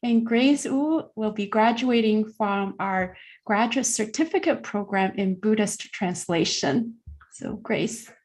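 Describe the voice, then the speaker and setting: A woman moderating the event, introducing the next speaker gently with some hesitation. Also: mid-range voice, takes it slowly.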